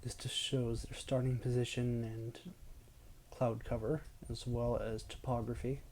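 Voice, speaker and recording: A man acting as a patient, easygoing boyfriend in an intimate roleplay. His voice is mellow and relaxed, gentle and unhurried as he coaches like a gamer. A faint hiss of noise and some clicking sit behind him. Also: mid-range voice, lightly acted, low-quality recording. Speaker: audio roleplay performer. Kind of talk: casual boyfriend roleplay, ASMR.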